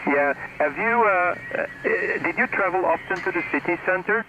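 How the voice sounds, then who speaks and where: A man's voice: high-pitched, moderate pace. A man chatting casually and conversationally as an amateur radio operator, his voice received over the radio. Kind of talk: casual ham radio chat. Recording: noisy amateur radio reception.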